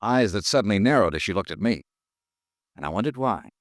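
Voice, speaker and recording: An actor playing a weary, wry insurance detective. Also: male voice, on the low side, lively intonation, brisk, dry recording, natural voice. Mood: narrating. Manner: dry, wry first-person narration. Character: weary wisecracking male insurance investigator. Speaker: narrator and lead detective. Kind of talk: 1950s radio drama acting.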